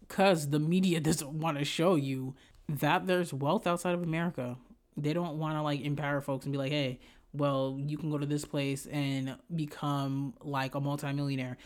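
A podcast host from Haiti talking casually and candidly in an opinionated, slangy monologue peppered with swearing. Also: medium pitch. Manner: candid, slangy, profane, conversational.

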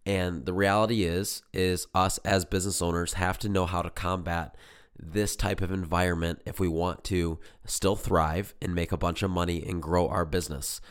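Male podcast host, very low voice, hoarse from illness, chatty and earnest. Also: fairly even intonation, normal speed. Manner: rambling, filler-heavy, earnest. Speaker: podcast host and business coach. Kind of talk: casual solo podcast talk.

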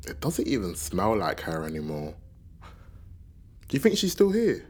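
A performer with a British accent playing a grieving, searching young British-Jamaican in a radio play, husky and hesitant. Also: sits low, huge pitch swings, normal speed, exaggerated voice. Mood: wistful, wondering. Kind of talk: naturalistic radio play acting.

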